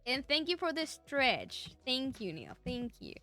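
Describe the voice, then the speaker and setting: A fairly high-pitched woman chats with her stream audience, giggling between phrases. Her voice is bright and very lively, rising and falling a lot. Soft music plays quietly behind her. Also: measured pace. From Argentina. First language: Spanish. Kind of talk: casual chat with live chat viewers.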